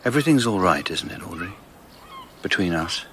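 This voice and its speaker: Deep, forceful husband. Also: male voice, lively intonation, fairly quick, slight background noise. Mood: pleading, intimate. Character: insistent, wistful husband wooing his ex-wife. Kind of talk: British radio drama acting.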